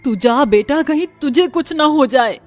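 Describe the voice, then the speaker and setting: Woman acting a high-pitched frantic village mother. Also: expressive, a little slow, faint music behind. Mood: desperate pleading. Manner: pleading, urgent. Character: frightened, protective village mother.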